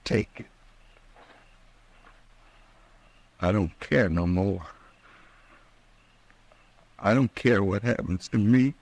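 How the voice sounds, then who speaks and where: An actor playing a distraught outlaw in a 1950s American radio western, with a halting, rustic drawl. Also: male voice, fairly low pitch, a little mellow. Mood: broken, despairing. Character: desperate, distraught Western outlaw gunman in love.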